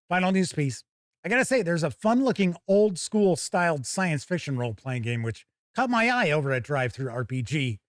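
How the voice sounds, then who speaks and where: Animated man hosting a gaming news show. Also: high-pitched, no echo, studio quality. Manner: chatty, wry asides, musing aloud. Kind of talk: casual live news commentary, reading blurbs and viewer comments.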